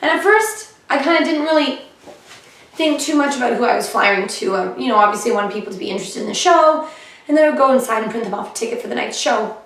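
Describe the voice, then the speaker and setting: A woman comedian chatting casually in a vlog about her shows, her voice a little hoarse and often clearing her throat. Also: on the high side, lively intonation, fast, fairly bright tone. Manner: chatty and upbeat, with fillers. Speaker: comedian vlogging her diary. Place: living room of a flat, indoors. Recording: echoey room, heard from a distance.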